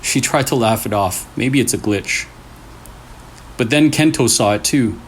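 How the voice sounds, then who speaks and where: A man's voice: mid-range voice, unhurried, slight background noise. A man hosting and reading scary stories aloud in short, suspenseful phrases, with casual asides. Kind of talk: storytelling with casual reactions between stories.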